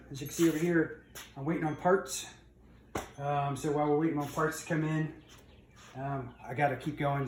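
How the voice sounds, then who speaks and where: A middle-aged man giving a casual, unscripted walkthrough of a project as a vlogger, rambling along in a folksy way. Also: fairly high pitch. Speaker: vlogger reselling auction finds. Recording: roomy, distant mic, background noise.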